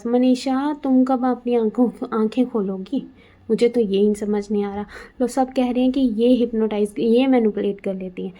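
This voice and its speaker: A woman's voice: mid-range voice, mellow. A woman giving casual, opinionated commentary in a conversational way. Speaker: video commentator.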